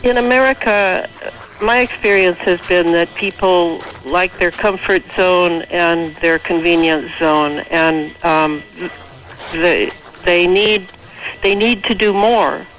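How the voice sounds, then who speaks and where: A man with a rather high voice gives his opinions as a talk radio guest. He is emphatic and often pauses mid-thought. The recording is dull and lo-fi. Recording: dull lo-fi shortwave radio relay.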